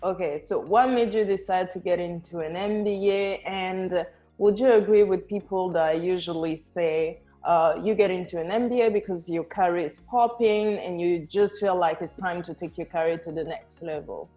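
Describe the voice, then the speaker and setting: A woman hosting a podcast who asks interview questions in a relaxed, friendly way. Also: on the low side, moderate pace, faint music behind. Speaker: podcast host.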